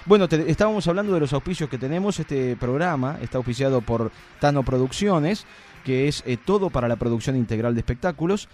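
A man's voice: medium pitch, animated, a little fast, a little mellow, slight background noise. A man with an Argentine accent hosting a live radio show, with a playful, joking way of talking. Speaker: radio host.

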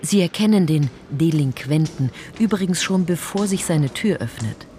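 Dry ironic narrator, probing, insistent, lowish voice, radio drama, faint ambience. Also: female voice, animated, moderate pace, warm tone, a little hiss or hum. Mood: wry, ironic. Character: probing, wry female narrator and investigator.

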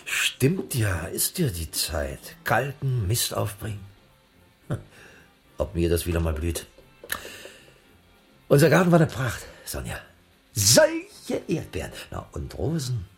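An actor playing a harassed, gruffly good-natured circus director in his forties, in a 1980s German radio drama. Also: lowish voice, wildly swooping pitch, takes it slowly, long pauses, exaggerated voice. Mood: wistful, wry. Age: 40s. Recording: radio play, faint music behind.

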